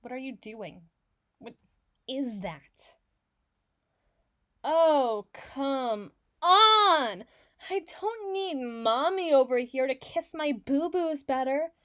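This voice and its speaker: A woman's voice: high voice, lively intonation, slow pace, pauses often, a bit bright, exaggerated voice. A woman playing a foul-mouthed, stubborn villain in an audio roleplay, blustering and sarcastic with her partner. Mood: grudging, irritated. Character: brash, foul-mouthed, hot-tempered villain woman, gruffly soft on partner. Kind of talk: ASMR roleplay voice acting.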